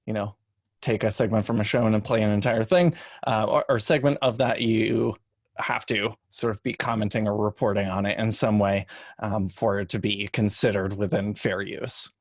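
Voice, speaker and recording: A man on a panel giving casual, measured explanations with careful qualifications. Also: fairly low pitch, fairly even intonation, dry recording, clean studio sound. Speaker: podcast panelist.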